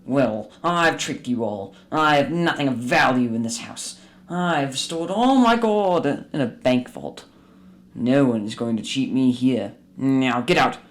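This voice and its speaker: An actor playing a grumpy, suspicious old miser in a radio drama, with a blustering, theatrical delivery. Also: male voice, on the high side, close to an everyday voice. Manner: excitable, indignant, blustering.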